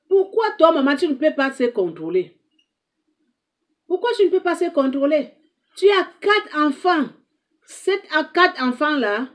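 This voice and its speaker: Woman advice host, higher-pitched, preachy and scolding, repeats her points. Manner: emphatic, scolding, repeats herself. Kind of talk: moralizing monologue to viewers.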